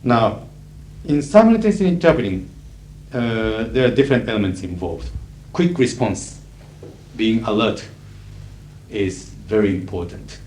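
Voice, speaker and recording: A middle-aged man with a Japanese accent, a native Japanese speaker, lecturing as an interpreter in a measured, emphatic way with frequent fillers. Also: on the low side, lively intonation, darkish tone. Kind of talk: lecture to an audience. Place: lecture hall. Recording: echoey 1980s hall recording with faint hiss.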